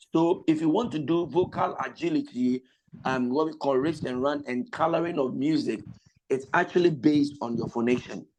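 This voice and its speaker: A man, a pastor and vocal lecturer, teaching an online voice class over a video call. He has a cold that roughens his voice, explains slowly, repeats his points and breaks into sung examples. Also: mid-range voice, darkish tone, slight background noise, low-quality recording. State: has a cold, voice slightly lost.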